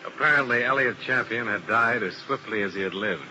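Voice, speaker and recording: A man's voice: mid-range voice, fairly quick, sharp tone, faint music behind, low-quality recording. An actor playing a hard-boiled male insurance investigator who narrates and questions people in a 1950s radio drama, in a terse, dry, probing manner. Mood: somber narration. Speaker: narrator and lead detective. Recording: hissy old radio broadcast.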